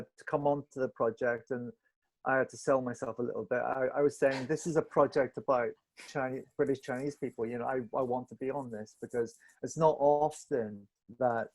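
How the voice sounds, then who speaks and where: Halting man with a mellow voice. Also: mid-range voice, low-quality recording. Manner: halting, searching for words, stammered restarts. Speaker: film director on a panel. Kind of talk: reflective panel discussion.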